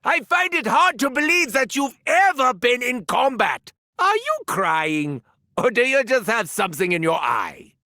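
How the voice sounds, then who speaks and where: Casting: vain, sadistic German mad scientist, video game. Theatrical and pompous, German accent, unhurried and crackly, recorded close and dry. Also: male voice, extremely high pitch, wildly swooping pitch, pushed and bright, dry recording, exaggerated voice. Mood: condescending. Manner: theatrical, pompous, swinging from muttering to shrieking. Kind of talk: scripted video game voice lines.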